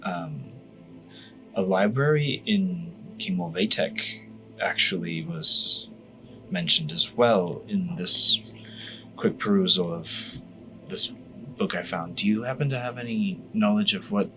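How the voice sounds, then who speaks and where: Man playing formal, low-voiced inquirer. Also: over music, rough recording. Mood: inquisitive. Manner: careful, halting, formal. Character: formal, polite, inquisitive male investigator of history.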